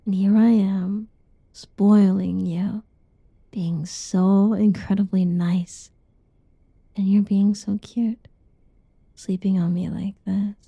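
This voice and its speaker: A young woman whispering softly in a teasing, cuddly ASMR roleplay, with giggles between lines. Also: mid-range voice, steady tone, slow, gravelly, pauses often, husky, no room sound. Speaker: roleplay narrator.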